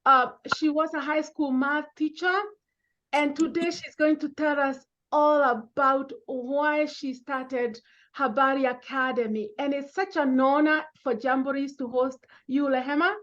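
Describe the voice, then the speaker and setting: A woman's voice: high-pitched, steady tone, unhurried, fairly bright tone, highs cut off. A woman moderator and educator introducing a guest formally, with frequent ums.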